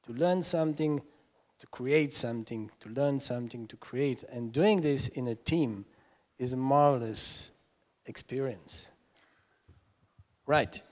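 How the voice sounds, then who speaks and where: A middle-aged man moderates a seminar panel. He talks slowly and deliberately into a handheld microphone, pausing to search for his words. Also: medium pitch, darkish tone. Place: lecture hall stage, seated on a panel.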